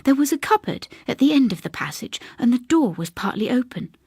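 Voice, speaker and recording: A woman's voice: high-pitched, big pitch swings, fairly quick, gravelly, darkish tone, slightly rough, dry recording, studio quality, heavily acted. A woman voicing a reserved older English woman who recounts a haunting in a hesitant, confiding, precise way. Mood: haunted, fearful.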